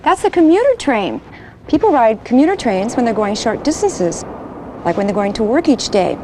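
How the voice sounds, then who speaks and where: Female train guide, American, helpful, high and animated, scripted kids' film, noisy carriage. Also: normal speed. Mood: helpful, instructive. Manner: helpful, clear explaining to children. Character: helpful female chief of train onboard services. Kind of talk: scripted children's educational film. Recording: train noise behind the voice.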